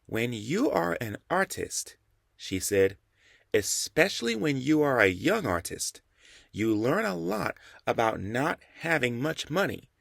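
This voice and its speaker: A man doing a gentle woman artist with a slight accent. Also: mid-range voice, sing-song, gravelly, no room sound, clean studio sound, voice pushed up, exaggerated voice. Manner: slow, soft, gracious.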